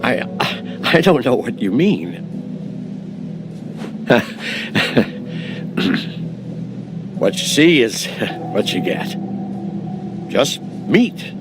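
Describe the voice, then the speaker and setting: A man's voice: mid-range voice, big pitch swings, drawn-out words, long pauses, music in the background. An actor playing a nervous, evasive butcher in a video game, a man who stammers and hesitates apologetically. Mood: evasive, anxious. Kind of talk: cinematic video game acting. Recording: game audio with clear background noise.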